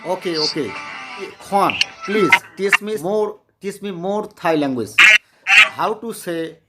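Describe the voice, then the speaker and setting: A host with a Bangladeshi accent makes casual small talk in simple English. Questions and phrases are often repeated. Clear music plays behind the voice. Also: mid-range voice, animated, takes it slowly. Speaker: host practicing English with strangers.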